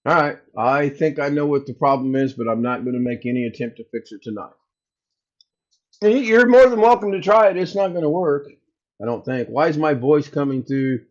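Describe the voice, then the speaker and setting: A man with a booming voice hosting a casual livestream, chatting with viewers and thinking aloud as he troubleshoots. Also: lively intonation, a little fast, lo-fi sound. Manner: rambling, thinks aloud while fixing tech. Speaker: livestream host. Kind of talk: casual live chat with viewers.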